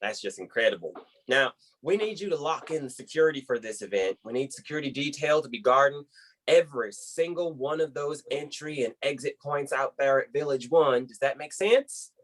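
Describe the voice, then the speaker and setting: A man's voice: mid-range voice, normal speed, lightly acted. A man acts out a folksy American boss leading a team call in a comedy web series. He rambles in a mid-range voice, effusive and upbeat, heaping praise as he hands out orders.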